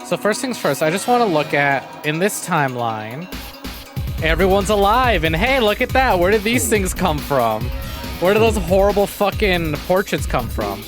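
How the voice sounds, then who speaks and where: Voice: mid-range voice, animated, normal speed. A streamer giving casual, jokey and sweary live commentary while playing a visual novel. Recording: game music behind the voice. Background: video game music.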